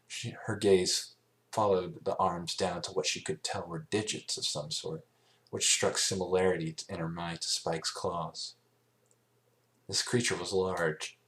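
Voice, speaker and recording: A man with a low voice narrates a story from a script. He reads as an amateur would, haltingly, sometimes tripping over a word and picking it up again. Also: a bit bright. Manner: halting reading with stumbles over words. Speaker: amateur fan fiction reader.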